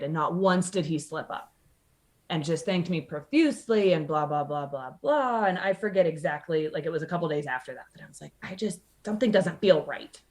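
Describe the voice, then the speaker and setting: A woman's voice: on the low side, darkish tone. A woman telling a personal story casually as a podcast guest, candid, sardonic and sweary, acting out the dialogue she quotes.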